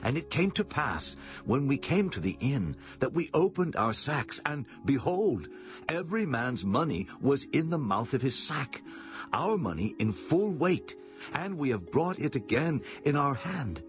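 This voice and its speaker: An actor playing an anxious, deferential Israelite brother, explaining himself formally in a dramatized scripture reading. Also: male voice, mid-range voice, very animated, a little slow. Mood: anxious, appeasing. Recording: music behind the voice.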